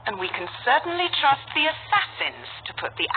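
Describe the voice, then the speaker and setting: A woman's voice: sits high, wildly swooping pitch, brisk. A defiant, biting female captive in a video game. Her intonation swings hugely. The recording is thin like a telephone, with slight hiss. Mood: sarcastic. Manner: biting, combative. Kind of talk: video game cutscene acting. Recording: tinny, phone-like game audio with hiss.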